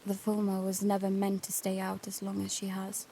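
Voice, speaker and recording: A woman's voice: mid-range voice, completely flat delivery, normal speed, vocal fry, dark tone, a little hiss or hum, natural voice. A young woman playing an earnest, restless daughter narrating life aboard a boat in an intimate, confiding audio drama style. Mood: reflective, confiding.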